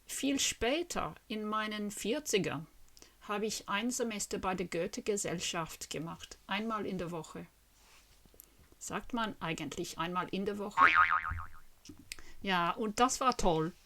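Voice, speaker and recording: A woman's voice: medium pitch, a little slow, fairly bright tone. A woman in her fifties from Western Australia, a native English speaker with an Australian accent, talking to camera in the language she is learning, carefully and earnestly, and asking her viewers questions.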